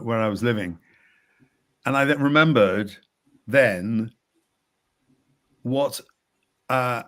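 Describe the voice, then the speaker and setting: Guy, coach, talks real slow and thoughtful, mid-pitch. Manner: slow, measured, with repeated words and soft laughs. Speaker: executive coach. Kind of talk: reflective podcast conversation.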